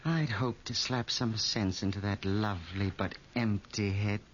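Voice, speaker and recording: An actor playing a scornful, scheming crime boss in a 1940s radio drama, condescending and threatening. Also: male voice, fairly low pitch, a little slow, natural voice. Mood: scornful menace. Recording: muffled old radio recording, faint noise.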